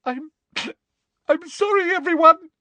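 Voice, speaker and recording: A reader voicing a desperate, pleading teenage schoolgirl in an audiobook, with a shrill, tearful, gulping delivery. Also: slow pace, bright, forceful tone, no room sound, full character voice. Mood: sobbing, gulping.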